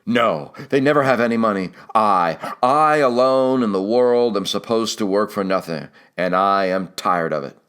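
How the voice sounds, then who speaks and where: A man's voice: fairly low pitch, lively intonation, moderate pace, few pauses, fairly bright tone. A man voicing a stout, vain doctor who speaks with indignant, demanding contempt in an audiobook. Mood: irritated, contemptuous. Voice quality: hoarse, throaty. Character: stout, vain, discontented colonial doctor, quick to anger.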